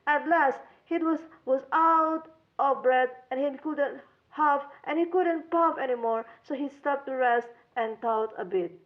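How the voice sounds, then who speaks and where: A woman's voice: sits high, measured pace. A woman storyteller narrating a children's storybook tale, on a dull recording. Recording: dull lo-fi sound.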